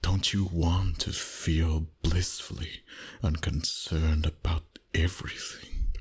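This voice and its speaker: A man playing a seductive, dominant vampire boss in an intimate ASMR roleplay, breathy and husky, often whispering, with a slow teasing delivery. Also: low voice, flat delivery, darkish tone, full character voice. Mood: seductive, coaxing.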